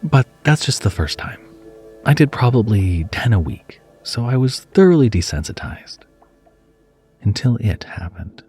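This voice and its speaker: A man's voice: medium pitch, sing-song, unhurried, darkish tone, slightly rough. A man reading a scripted first-person horror story in a theatrical, dramatic way. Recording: music bed under the voice. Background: background music.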